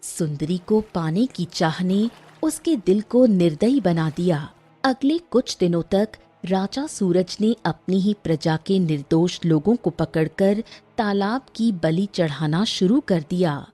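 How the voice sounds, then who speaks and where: A woman narrator giving dramatic scripted narration for a cartoon story, in a husky, dark voice. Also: on the low side, lively intonation, a little slow, faint music behind.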